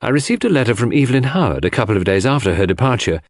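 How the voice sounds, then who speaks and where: A man's voice: mid-range voice, big pitch swings, very fast, no echo, clean studio sound. A man reading scripted first-person literary narration as an audiobook narrator.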